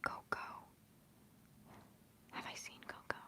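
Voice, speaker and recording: Young woman whispering softly to her chat. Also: slow. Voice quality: soft whispering voice. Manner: reads and answers chat messages, rambling and fond; holding back tears. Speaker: livestream host. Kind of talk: whispered ASMR livestream chat.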